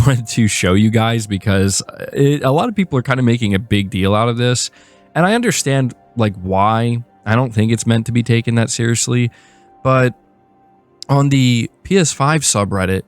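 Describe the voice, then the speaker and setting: A man's voice: lowish voice, dry recording. A man giving casual, conversational gaming news commentary as a host.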